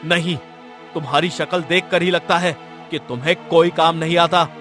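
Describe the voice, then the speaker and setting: Man playing a high-voiced imperious cartoon king. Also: steady tone, moderate pace, fairly bright tone, soft background music, close to an everyday voice. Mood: stern. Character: stern, imperious, restless king. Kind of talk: cartoon story voice acting.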